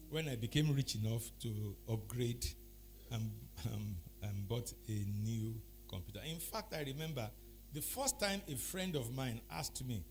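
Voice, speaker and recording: A middle-aged or older man with a Nigerian accent gives an anecdotal talk, explaining deliberately in short phrases with pauses. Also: medium pitch, slight background noise. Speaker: speaker giving a talk.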